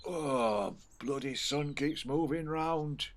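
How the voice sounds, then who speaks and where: Higher-voiced grumbling English man. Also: slow. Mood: grumbling, musing. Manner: colloquial, grumbling, musing. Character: grumbling, plain-spoken English man, homely philosopher. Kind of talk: naturalistic audio play acting.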